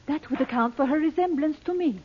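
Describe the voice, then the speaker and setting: An actress playing a solemn, brave princess in an old radio drama, with formal, drawn-out, dramatic delivery. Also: female voice, high-pitched, lightly acted. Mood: resolute. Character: solemn, brave princess helping a magician, reading incantations. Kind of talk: 1940s radio drama acting. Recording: muffled old radio recording, music behind.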